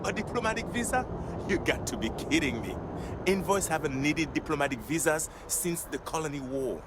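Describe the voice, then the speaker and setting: An actor playing a formal, flustered and exasperated diplomat in video game dialogue. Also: male voice, on the high side, lively intonation, heavy background noise, lightly acted. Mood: indignant. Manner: formal wording, exasperated, halting.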